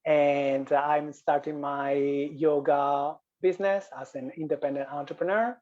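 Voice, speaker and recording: A man's voice: fairly high pitch, fairly even intonation, a little slow, darkish tone, highs cut off. A man from Spain with a Spanish accent, a yoga business coach telling his story as a guest over a video call, often repeating and restarting phrases.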